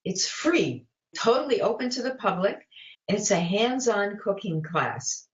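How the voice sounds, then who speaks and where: A woman's voice: medium pitch, sing-song, a little slow, highs cut off. Woman in her seventies, chatty, roomy sound. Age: 70s. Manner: warm, chatty, many fillers and restarts. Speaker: guest, vegan cookbook author and cooking teacher. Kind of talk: casual interview chat.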